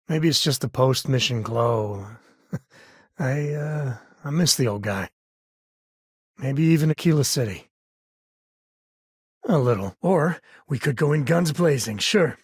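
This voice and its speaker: A man's voice: mid-range voice, husky, no room sound, studio quality. An actor playing a rugged, easygoing space ranger and protective father in a video game, with a folksy, wry, conversational manner. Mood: troubled, wry. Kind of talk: video game character dialogue.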